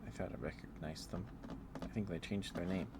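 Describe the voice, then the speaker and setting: Deep voiced guy doing casual asmr stream chat, slow n hesitant, noisy background. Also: fairly even intonation. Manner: rambling, hesitant, unhurried. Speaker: livestreamer.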